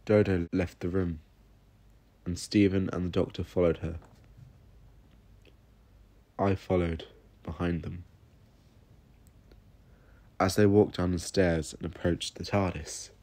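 A man narrating a scripted first-person story solemnly, with dramatic pauses. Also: low-pitched, little pitch movement, dark tone.

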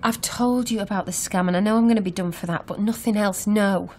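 A woman acts out a young hitch-hiker under questioning in a naturalistic radio play. She is defensive and speaks in halting phrases. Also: mid-range voice, fairly even intonation, a little mellow, soft background music, lightly acted. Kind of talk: naturalistic radio drama acting.

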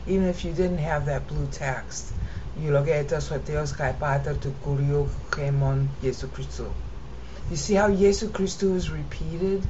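Low-voiced American woman chanting Greek. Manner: explains then chants text in rhythmic cadence. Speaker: Bible teacher. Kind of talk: screen-share lesson with chanted reading. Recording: dull lo-fi audio with steady noise.

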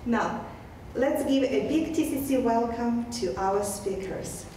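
A woman's voice: a little high, expressive, takes it slowly. A woman in her thirties reading a prepared welcome as host of a college event. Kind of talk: prepared welcome read aloud. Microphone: handheld microphone. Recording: echoey room, distant mic, clear noise.

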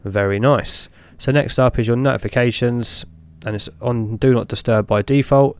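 A young man hosting a tech channel, talking through each step in a relaxed way as he clicks through an install on screen. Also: mid-range voice, moderate pace, clean tone. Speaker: tech video host. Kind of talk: screen-recorded walkthrough narration.

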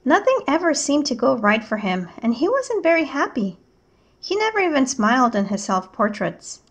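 A woman reading a picture book aloud as a teacher, in measured, clearly enunciated storytelling narration. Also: high-pitched, sing-song.

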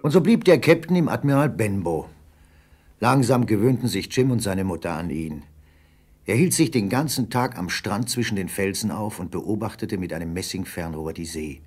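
An actor playing a salty storyteller with a raspy voice, narrating a German radio play dramatically. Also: male voice, fairly low pitch, lively intonation, moderate pace, a little mellow. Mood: atmospheric. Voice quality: husky, raspy. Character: salty storyteller spinning a sea yarn.

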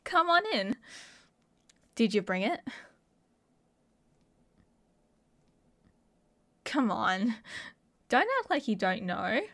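A young woman with an Australian accent playing an excitable, mischievous college friend in a casual audio roleplay. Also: sits high, heavily acted. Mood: excited, eager. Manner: playful, giggly, slangy. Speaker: roleplay voice performer. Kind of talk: ASMR roleplay acting, casual chat to a friend.

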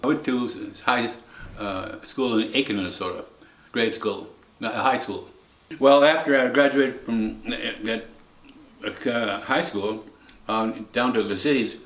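A man in his eighties answering questions in a hesitant, halting way during a documentary interview at home. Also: mid-range voice, a bit bright, reverberant, far from the mic, rough recording. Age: 80s. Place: living room, seated.

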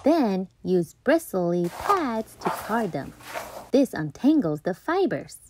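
A woman reading a children's picture book aloud as a storytime host, playful and deliberate, with dramatic emphasis. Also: medium pitch, very animated, noisy background. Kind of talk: expressive children's read-aloud narration.

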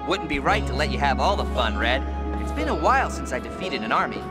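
A man's voice: medium pitch, sing-song, fast, natural voice. A man playing a plucky, wry little round video game hero with a breezy manner. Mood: wry bravado. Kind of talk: video game cutscene acting. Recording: loud music behind the voice.

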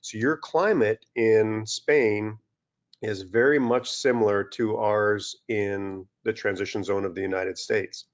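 A man's voice: on the low side, slow pace. Middle-aged man lecturing on a dull video call. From Western Canada. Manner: relaxed and explanatory, with many fillers and restarts. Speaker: turfgrass scientist presenting a webinar. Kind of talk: webinar lecture over slides. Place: small indoor room, video call.

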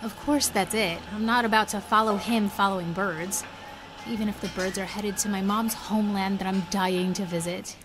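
An actress playing a sensible, guarded café barista who talks casually and dryly in an audio drama. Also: female voice, mid-range voice, fairly even intonation, normal speed, noisy background, close to an everyday voice. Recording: music playing behind the dialogue.

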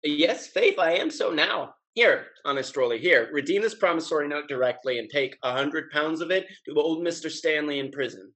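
Man acting exuberant young spendthrift gentleman, higher-pitched. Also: normal speed, few pauses. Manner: exuberant, declamatory. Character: spendthrift good-hearted young gentleman, carefree and impulsive. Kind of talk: period comedy stage acting over video call. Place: indoors at home, video call.

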